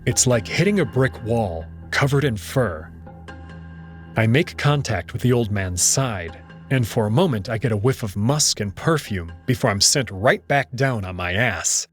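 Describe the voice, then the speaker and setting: A man's voice: lowish voice, slightly rough. A man narrating a scripted story in the first person, in a dramatic reading style.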